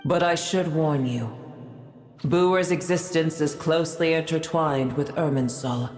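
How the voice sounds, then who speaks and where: A woman's voice: low-pitched, measured pace, darkish tone. Casting: ancient female dragon sovereign, bitter, imperious, formal archaic diction, echoing game sound. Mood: solemn, grand. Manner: haughty, grandiose, formal archaic speech. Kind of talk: video game voice acting. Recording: echoing, with game music behind.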